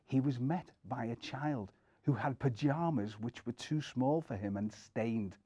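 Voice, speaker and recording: A middle-aged man reading a children's story aloud in an expressive storytelling style. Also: mid-range voice, husky, no room sound. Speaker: story reader.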